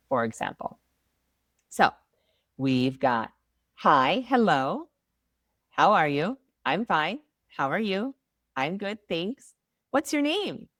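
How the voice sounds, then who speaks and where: Thirties woman, ESL teacher: low, animated voice, deliberate clear delivery, dry sound. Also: dry recording. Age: 30s. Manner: slow model phrases, bright and upbeat. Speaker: English teacher. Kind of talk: slow, clearly enunciated beginner ESL lesson.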